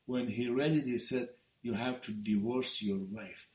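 A middle-aged Persian man, a native Farsi speaker, talking as a guest pastor in plain, slightly broken English. His tone is earnest and his voice sits at an ordinary middle pitch. The room around him sounds echoey. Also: a little slow, darkish tone. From Iran. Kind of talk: telling his testimony in conversation.

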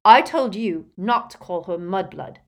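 A woman's voice: fairly low pitch, moderate pace, darkish tone, husky. Blunt, determined teenage boy read by a woman. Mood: angry. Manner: blunt, insistent.

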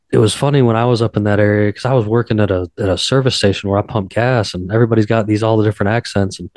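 A middle-aged man chatting on a podcast as the guest, rambling and drawn out, with a slow, slurring way of talking. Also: on the low side, darkish tone, no room sound. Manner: rambling and drawn out, told he slurs. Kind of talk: casual podcast chat.

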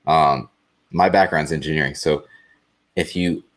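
This man speaks from the bottom of his range in a deep, flat, monotone way. He is blunt and dry, laying out his view plainly on a live talk show. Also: measured pace. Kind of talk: casual live show discussion.

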